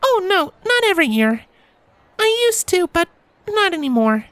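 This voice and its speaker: Casting: man performing exuberant young female cartoon pony, extremely high and swooping, dry recording with music underneath. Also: sing-song, normal speed, a bit bright, dry recording, exaggerated voice. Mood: absent-minded, casual. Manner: exuberant, girlish. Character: bubbly, excitable young female pony, bright-eyed and eager. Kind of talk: character voice in fan fiction reading.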